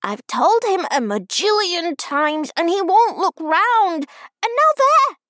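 Shrill, excitable little five-year-old girl. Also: slow, dry recording, studio quality, full character voice. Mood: indignant, shrieking. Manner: shrill, emphatic childish babble. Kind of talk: audiobook character voice.

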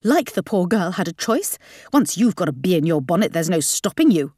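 A woman voicing an outraged, scolding middle-aged mother in an audiobook, shrill and dramatic. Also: sing-song, a little fast, darkish tone, husky, no room sound, clean studio sound. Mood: hissing, angry.

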